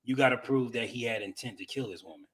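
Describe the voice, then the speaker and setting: A man's voice: medium pitch, fast talker, fairly bright tone, slightly rough. A man co-hosting, reacting with casual, off-the-cuff commentary. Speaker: co-host reacting to a news reading.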